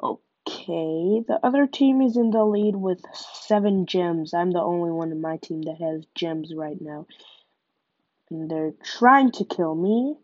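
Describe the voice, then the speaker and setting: Slow-talking young woman with a cold. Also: lowish voice, no room sound, muffled recording. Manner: chatty, narrates each move. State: nasal from a cold. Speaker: gaming podcaster. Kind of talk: live gameplay commentary.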